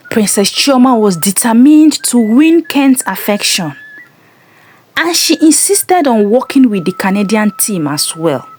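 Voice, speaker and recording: A woman narrating a scripted folktale in a measured, dramatic storytelling manner. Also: medium pitch, slight background noise.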